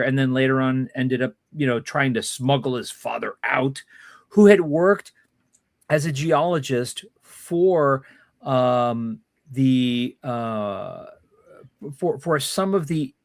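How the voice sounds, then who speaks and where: A man's voice: medium pitch, dry recording, clean studio sound. A man hosting a casual political chat, explaining history at length in a rambling way. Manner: rambling explainer, many fillers and restarts.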